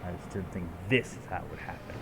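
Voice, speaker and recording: A man's voice: deep voice, quick pace, gravelly, noisy background. A man giving casual, blunt, sweary live reactions as he streams a video game. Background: game music.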